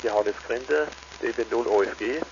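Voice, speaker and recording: A man's voice: medium pitch, normal speed, gravelly. A man chatting casually as an amateur radio operator over a two-way transmission. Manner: tags statements with "ne?". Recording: dull, noisy ham radio transmission.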